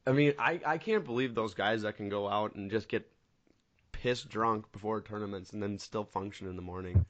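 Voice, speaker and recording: A man's voice: fairly low pitch, normal speed. A man in his mid-twenties co-hosting a casual chat, telling rambling stories with laughs. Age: 20s. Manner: rambling, animated storytelling with laughs. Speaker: podcast co-host. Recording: dull lo-fi audio.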